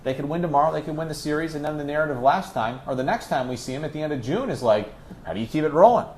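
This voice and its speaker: A man's voice: fairly high pitch, expressive, racing, a little mellow, slight background noise. A man working as a radio play-by-play announcer, talking sports as a guest in an emphatic, conversational way with rhetorical questions. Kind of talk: animated sports discussion.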